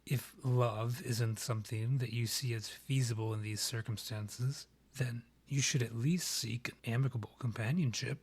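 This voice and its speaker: A man playing a haughty vampire monarch, a proud rival and ex-lover, with formal, measured, archly condescending phrasing in a romantic roleplay audio drama. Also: medium pitch, fairly even intonation, husky. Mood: coaxing, exasperated.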